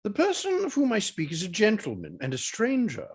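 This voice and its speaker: A man playing a dry, teasing older father and husband in a period drama reading, deliberate and ironic, with a husky voice. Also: sits high, huge pitch swings, exaggerated voice.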